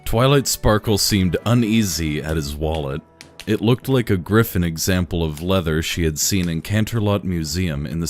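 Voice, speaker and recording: A man reading a fan story aloud in a dry, deadpan narrating manner. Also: low voice. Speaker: reader.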